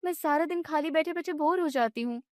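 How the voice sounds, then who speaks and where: A woman's voice: sits high, a little fast, no room sound, studio quality, voice pushed up, natural voice. A woman voicing an earnest young daughter-in-law who talks plaintively in a family story. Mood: whining, bored. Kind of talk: Hindi family story acting.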